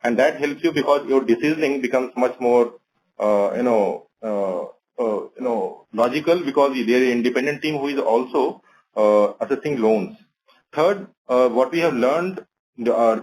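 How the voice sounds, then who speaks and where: A man's voice: medium pitch, darkish tone, rough recording. A man with an Indian accent, a bank executive methodically explaining points on an earnings conference call.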